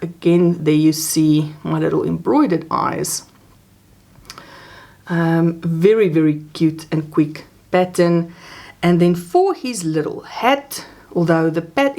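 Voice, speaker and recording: A chatty South African woman in her forties, her first language Afrikaans, talks on camera about crochet. Her voice sits a little low and leaps up in pitch as she gets animated. She is seated at a desk in a small home craft room, close to the camera mic. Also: vocal fry. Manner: chatty, animated pitch jumps, laughs often. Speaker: crochet vlogger. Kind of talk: casual show-and-tell chat.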